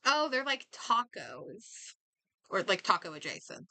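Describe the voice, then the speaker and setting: Bright-voiced woman streamer with lively pitch. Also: measured pace, gravelly, no room sound. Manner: muttering to herself, thinking aloud. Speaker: game streamer. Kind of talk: casual livestream chat while playing a game.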